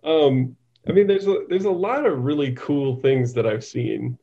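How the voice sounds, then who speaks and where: A man speaking as an interview guest, thoughtful and halting, often repeating words as he talks conversationally. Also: medium pitch, dark tone, highs cut off. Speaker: interview guest, psychiatric nurse practitioner.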